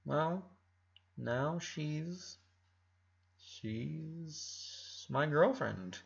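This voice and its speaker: A man voicing a shy, embarrassed eleven-year-old boy in a story reading, halting and flustered. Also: on the high side, expressive, vocal fry, muffled recording, heavily acted. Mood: nervous. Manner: halting, nervous.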